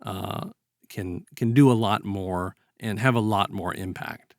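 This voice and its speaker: A man's voice: on the low side, a little slow, creaky, dry recording, clean studio sound. A man speaking as a podcast host in an earnest, emphatic way, talking through ideas conversationally. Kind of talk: conversational discussion of a story's moral.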